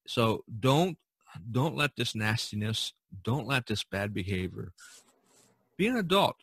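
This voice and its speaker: A man's voice: mid-range voice, sing-song, measured pace, gravelly, fairly bright tone, breathy edge, dry recording. A man hosting a casual podcast discussion, speaking emphatically and repeating phrases for stress. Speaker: podcast host.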